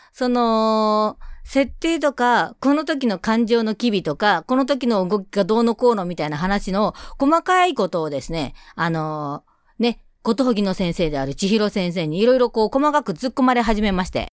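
A woman's voice: fairly high pitch, expressive, moderate pace, smooth, dry recording, clean studio sound. A woman hosting a solo radio show, chatting casually in a playful, self-deprecating way. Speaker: radio show host and scriptwriter.

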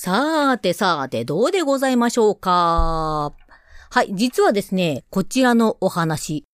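Animated woman host, swooping intonation, chatty, playful, self-deprecating, dry close sound. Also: medium pitch, unhurried, clean tone, dry recording. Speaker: radio show host and scriptwriter. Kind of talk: casual solo show chat.

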